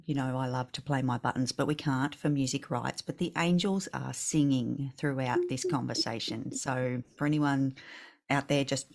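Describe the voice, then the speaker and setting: A woman's voice: rumbling low, fairly even intonation, normal speed, creaky. A woman in her forties leading a casual video call chat, effusive and laughing often. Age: 40s. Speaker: business mastermind leader.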